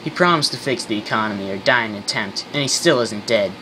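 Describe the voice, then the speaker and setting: Guy doing a washed up soap tycoon, deadpan n bitter, old timey slang, noisy amateur radio skit. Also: lowish voice, fast talker, natural voice. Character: failed, soap-obsessed former tycoon, boastful and bitter.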